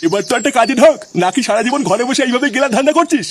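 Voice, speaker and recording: A man plays a grumpy village father in a comedy cartoon. His voice is very high for a man and rattles along quickly, nagging and crude, over faint noise. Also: animated. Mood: scolding. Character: grumpy scolding middle-aged village father of a lazy son. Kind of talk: comic Bengali cartoon dubbing.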